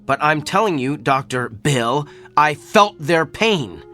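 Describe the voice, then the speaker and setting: Earnest doctor, higher-pitched voice. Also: male voice, expressive, slow pace, sharp tone, soft background music, heavily acted. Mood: insistent. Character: earnest male doctor with an uncanny diagnostic gift. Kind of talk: radio drama acting.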